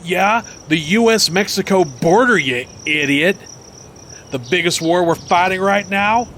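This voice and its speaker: Podcast drama male actor: blustering, scornful old-newsman type, unhurried delivery, slight outdoor background noise. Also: sits high, expressive, fairly bright tone. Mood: belligerent, scornful. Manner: dismissive, pompous, insulting. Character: crass, belligerent right-wing ex-TV reporter, a man, golfing. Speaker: former roving news reporter. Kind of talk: acted podcast drama dialogue. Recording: noisy outdoor ambience with insects.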